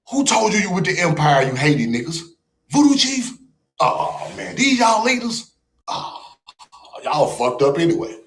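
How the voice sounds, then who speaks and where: A man's voice: high voice, very animated, a little slow, a bit bright, a little hiss or hum. Mocking, profane man ranting on a livestream. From United States. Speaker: livestream host. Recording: roomy echo.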